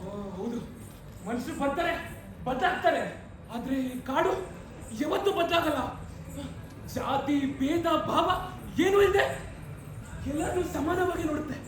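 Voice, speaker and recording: In a very echoey hall with slight noise, a man plays a haunted outcast dancer in a stage play. He declaims bitterly in a high-pitched voice, stretching words with grief and passion. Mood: bitter, solemn. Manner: theatrical, impassioned, drawn-out delivery. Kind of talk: declamatory amateur stage acting. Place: indoor stage, live play.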